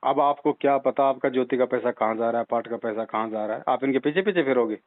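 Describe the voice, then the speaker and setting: Over a narrow, tinny phone line, a man speaks at a medium pitch. He counsels a caller like a preacher, insistent and persuasive. He drives his points home with repeated rhetorical questions. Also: fast talker. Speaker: religious devotee counselling a caller. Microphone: telephone. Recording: tinny band-limited phone call recording.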